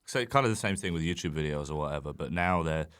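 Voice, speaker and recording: A man's voice: extremely low pitch, expressive, quick pace, a bit bright, clear, resonant tone, no echo, clean studio sound. A young man, a comedian guesting on a podcast, chatting casually in rambling, self-mocking comic riffs.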